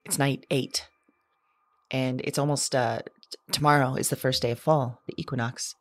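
A woman playing a lonely, haunted character who keeps an audio journal in a drama, with a halting, intimate, confessional delivery. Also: rumbling low, fairly even intonation, no echo. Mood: anxious, hopeful. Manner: halting, hesitant, hushed reflective delivery.

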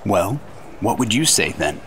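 A man's voice: on the low side, very animated, measured pace, fairly bright tone, slight background noise. A man playing an earnest, literal-minded federal agent sidekick in a dutiful radio drama style.

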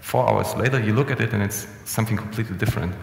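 Young man, easygoing conference speaker, gravelly voice, echoey room sound. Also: lowish voice, rapid-fire. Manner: relaxed, conversational, frequent restarts and fillers. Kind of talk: conference presentation. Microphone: headset mic. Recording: echoey hall with long reverb.

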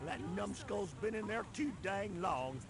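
A man's voice: sits high, animated, unhurried. A man voicing a folksy, grumbling farm hand with a rustic manner in a video game cutscene. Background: game music.

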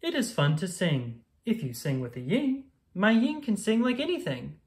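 A woman reading a rhyming children's picture book aloud in a playful, sing-song, expressive manner. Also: medium pitch, normal speed.

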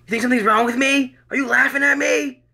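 A man voicing a volatile, defensive psychiatric patient who snaps back at his doctor in a high, strained shout. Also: steady tone, fairly quick, heavily acted. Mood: agitated.

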